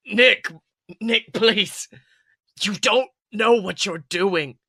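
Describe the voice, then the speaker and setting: A man acts out an old, battle-scarred wolf villain from an animal story. He is bitter and grandiose, drawing out his menacing speeches with slow theatrical relish. The sound is bright and very dry. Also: high-pitched, breathy edge, no room sound, voice pushed up, full character voice. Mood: pleading. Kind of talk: dramatic storytelling character voice.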